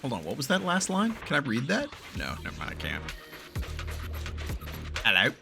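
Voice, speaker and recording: Animated, slightly gravelly man reacting to gameplay. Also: mid-range voice, normal speed, music in the background. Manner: animated, casually profane. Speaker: gaming streamer reacting to a story quest. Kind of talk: casual gameplay reaction commentary, sometimes reading dialogue aloud.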